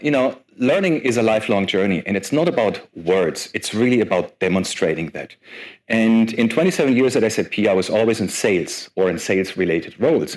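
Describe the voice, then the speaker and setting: A man in his forties giving a lively conference talk as guest speaker, chatty and self-deprecating with many fillers. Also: on the low side. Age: 40s.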